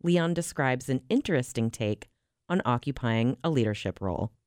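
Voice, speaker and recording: A woman narrator reading a scripted news feature in a measured, even delivery. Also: rumbling low, no room sound, studio quality.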